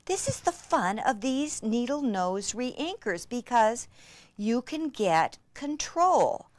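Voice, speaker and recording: A woman giving an enthusiastic hands-on craft demonstration, gushing and breathlessly excitable, with hushed asides. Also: fairly high pitch, slow, no echo. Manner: gushing, breathlessly excited, with frequent gasps and exclamations.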